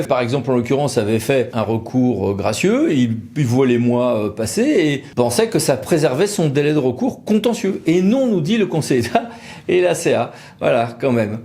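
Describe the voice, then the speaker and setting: A man's voice: on the high side, a little mellow. A man presenting as a legal expert, explaining in an informal, conversational way with wry, colloquial asides. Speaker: legal expert from a law firm presenting a video.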